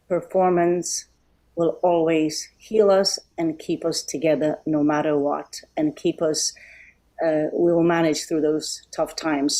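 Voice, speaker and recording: A middle-aged woman from Poland giving a heartfelt thank-you speech over a video call, pausing often with um and uh. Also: low-pitched, poor audio. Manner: warm and grateful, many um and uh pauses. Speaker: event guest speaker.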